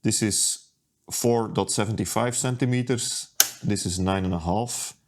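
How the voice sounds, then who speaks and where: A man talking through a hands-on repair at his workbench, unhurried and thinking aloud with plenty of ums and uhs. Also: on the low side, background noise. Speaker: repair video host. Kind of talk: casual how-to narration.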